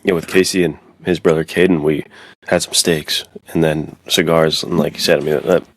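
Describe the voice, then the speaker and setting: A man's voice: extremely low pitch, little pitch movement, normal speed, rough recording. A man co-hosting a podcast, chatting casually with informal, conversational phrasing. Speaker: podcast co-host.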